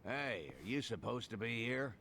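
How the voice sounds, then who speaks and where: A man's voice: mid-range voice, very animated, moderate pace, sharp tone, a little hiss or hum. An actor playing a genial, easygoing older local man in an English video game dub, rambling in a folksy, amused way. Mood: amused, casual.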